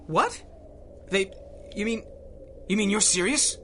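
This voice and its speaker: An actor playing a nervous, whining low-level gangster in a radio drama, with stammering, slangy tough-guy talk. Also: animated, slow pace, fairly bright tone, slight background noise, full character voice. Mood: frightened. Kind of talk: old-time radio drama acting.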